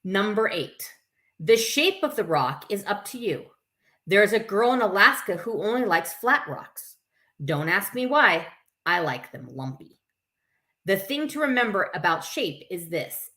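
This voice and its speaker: A woman's voice: mid-range voice, big pitch swings, unhurried, pushed and bright. A woman hosting a story time, reading a picture book aloud expressively with informal, chatty asides to her listeners. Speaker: camp staff story time host.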